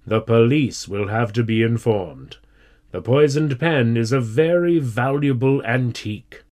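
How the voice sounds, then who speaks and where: A man voicing a worried, earnest male schoolteacher in a storybook, speaking in a measured, pleading way. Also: medium pitch, expressive, darkish tone, lightly acted. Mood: grim, weary.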